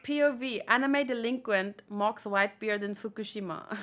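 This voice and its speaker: A woman's voice: mid-range voice, normal speed, fairly bright tone, slight background noise. A woman host chatting casually and informally about manga, rambling a little as she thinks aloud.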